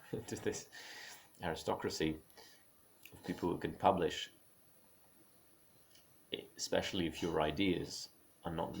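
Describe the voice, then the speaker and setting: Very deep, gravelly-voiced man musing aloud. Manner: halting, with frequent restarts and small laughs; musing, opinionated. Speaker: speaker on creative careers. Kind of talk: unscripted spoken monologue.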